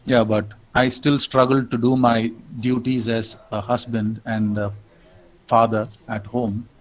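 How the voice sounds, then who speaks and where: A middle-aged man with an Indian English accent, giving a reflective lecture as a professor and pausing often on "um" and "uh". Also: mid-range voice, little pitch movement, low-quality recording. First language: not English. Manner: reflective, with frequent "um" and "uh" pauses.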